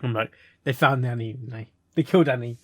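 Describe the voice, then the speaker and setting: A man giving casual, unscripted reaction commentary as a host, halting and rambling, often repeating words and phrases. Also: mid-range voice, fairly bright tone, dry recording, studio quality. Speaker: host reviewing fan fiction readings.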